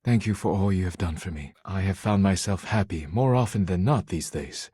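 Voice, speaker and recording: A man voicing an earnest, proud poet in a video game, speaking in formal, solemn, stilted diction with a husky voice. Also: deep voice, normal speed, warm tone, no echo, studio quality. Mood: tender, warm. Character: earnest, proud male poet devoted to dishware, formal and intense. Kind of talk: video game character voice acting.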